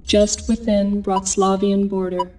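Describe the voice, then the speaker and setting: A woman's voice: medium pitch, flat delivery, a little slow, a little mellow, lightly acted. A woman voicing an emotionless military computer in a game, reading a clipped, impersonal mission briefing. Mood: flat, official. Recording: distant voice over faint music.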